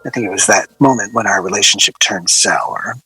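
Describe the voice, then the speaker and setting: An actor playing a wistful, aggrieved man who reminisces in a reflective, measured way, as if interviewed for a radio drama. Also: fairly low pitch, pushed and bright, husky, exaggerated voice, rough recording. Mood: regretful. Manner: reflective, measured, rhetorical questioning. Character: wistful, aggrieved man recalling a soured musical collaboration. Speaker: interview guest. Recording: music playing behind the voice. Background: music.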